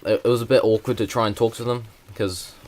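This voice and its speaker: A man's voice: lowish voice, fairly even intonation, fast, darkish tone. A young man, talking hesitantly with many fillers in a casual vlog. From New Zealand. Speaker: vlogger.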